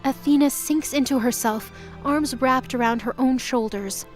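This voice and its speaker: A woman narrates scripted dramatic fiction. Her voice sits a little high, serving as storyteller for an audio drama. Music is clearly present behind her. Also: moderate pace.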